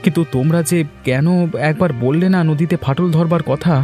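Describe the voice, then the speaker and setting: A man doing a brisk, brusque village husband. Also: fairly high pitch, a little mellow, faint music behind, natural voice. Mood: troubled.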